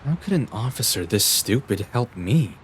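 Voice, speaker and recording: A man's voice: mid-range voice, big pitch swings, moderate pace, natural voice. A man playing an eccentric young genius detective, intense and flippant, in an anime fan dub. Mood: flustered, muttering. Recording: voice over background music.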